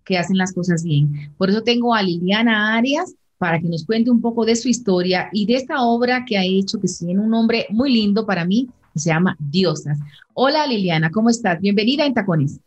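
A woman hosting an interview over a video call, asking warm, encouraging questions from a home room. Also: mid-range voice, expressive, moderate pace, lo-fi sound. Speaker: host. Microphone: video call with a studio microphone.

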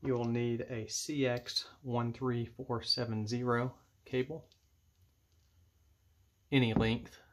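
A man giving measured, matter-of-fact step-by-step instructions as he demonstrates an equipment setup indoors at a table. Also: mid-range voice, flat delivery. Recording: clear background noise with clicks.

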